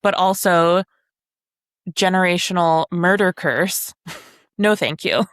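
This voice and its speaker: Unhurried woman chatting, lowish voice. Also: fairly even intonation, a bit bright, no echo, studio quality. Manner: wry, sardonic, casually sweary. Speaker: podcast co-host. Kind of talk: casual book discussion chat.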